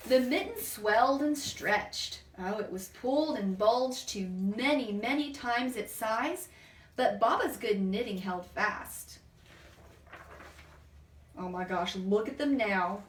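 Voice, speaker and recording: A woman's voice: on the high side, expressive, slow. A woman reading a children's picture book aloud expressively, with chatty asides and questions to a young listener. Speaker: storybook reader and teacher. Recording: roomy, distant microphone, background noise.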